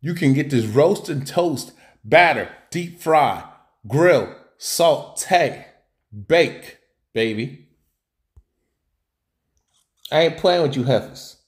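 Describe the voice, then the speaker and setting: A man's voice: fairly high pitch, lively intonation, extremely slow, long pauses. A man hosting a live reaction stream, ranting bluntly over a clip he is playing, often repeating and restarting his words.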